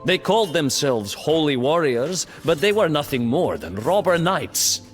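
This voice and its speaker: An actor playing a bitter, proud imprisoned nobleman who recounts his downfall as haughty, theatrical, deliberate narration in a video game. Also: on the high side, very animated. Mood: indignant. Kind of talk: dramatic storytelling narration for a strategy game cutscene. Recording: long reverberant hall, music underneath.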